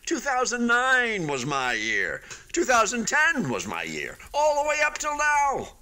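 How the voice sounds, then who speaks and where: An actor in a radio play voicing an unfinished hotel building, a wistful but stubbornly hopeful man who talks in a halting, conversational way. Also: extremely high pitch, huge pitch swings, sharp tone, heavily acted. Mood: upbeat, hopeful. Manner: halting, conversational, with sighs and emphatic swings.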